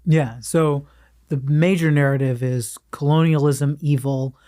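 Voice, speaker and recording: A man with a fairly high voice works as a journalist interviewer. He speaks conversationally, and the sound is dry with no room echo. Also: steady tone, unhurried, mellow.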